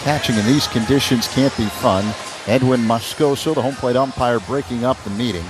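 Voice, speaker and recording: A man's voice: mid-range voice, normal speed. An American man calling a baseball game live on radio as play-by-play announcer, in clipped phrases that follow the action. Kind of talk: live radio sports commentary. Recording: crowd ambience noise behind broadcast. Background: ballpark ambience noise.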